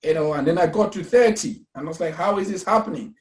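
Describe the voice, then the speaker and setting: A man's voice: on the high side, fast. A man in his thirties from Cameroon, talking earnestly about investing and pensions, repeating his points with preacher-like emphasis. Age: 30s. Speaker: investment company founder. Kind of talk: casual pitch talk. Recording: echoey room.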